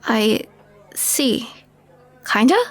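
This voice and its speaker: A woman's voice: a little high, animated, drawn-out words. A woman playing a skeptical, wry young alien woman in an audio roleplay, hesitant and questioning. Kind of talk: intimate audio roleplay acting.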